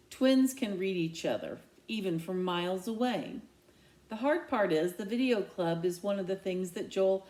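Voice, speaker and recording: Woman reading a chapter book out loud, expressive w emphasis, normal pitch, pretty echoey room. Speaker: read-aloud reader. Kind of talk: reading a children's novel aloud in first person. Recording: echoey, roomy home recording.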